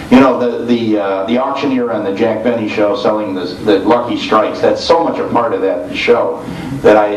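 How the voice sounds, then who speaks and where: A middle-aged or older American man talking informally on a panel as a radio show host, conversational and full of small asides. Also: fairly low pitch. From small rural area in the United States. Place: convention room before an audience. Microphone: informal video recording, mic at a distance. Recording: roomy, distant mic with faint noise.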